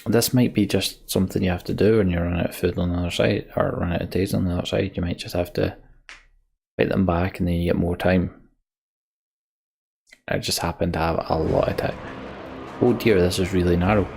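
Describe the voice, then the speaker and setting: Male let's-play host, lowish gravelly voice, muttering his way through a game with music underneath. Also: fairly even intonation, fairly quick, darkish tone. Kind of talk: casual game commentary and reading on-screen story text aloud. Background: video game music.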